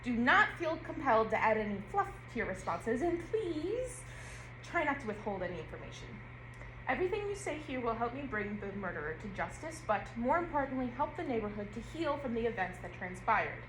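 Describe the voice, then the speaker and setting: A woman actor reading an audio play script at rehearsal in a measured storytelling way. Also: on the high side, very animated, a bit bright. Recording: roomy and noisy rehearsal room.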